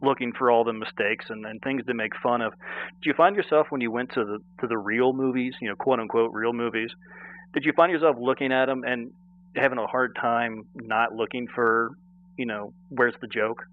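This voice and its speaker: A man's voice: mid-range voice, steady tone, normal speed. A man conducting a casual phone interview in a chatty, agreeable way, heard over a phone line. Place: phone call.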